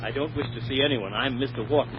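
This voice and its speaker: An actor playing a brusque, dry-witted brokerage partner with a clipped, sardonic manner, in a 1940s radio comedy. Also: male voice, medium pitch, quick pace, close to an everyday voice. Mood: dryly annoyed. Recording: muffled, noisy old radio broadcast.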